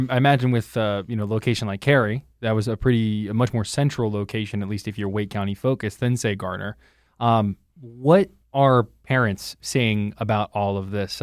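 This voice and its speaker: A man's voice: medium pitch, darkish tone, clean tone, no room sound, studio quality. A man hosting a news podcast in a conversational interview style.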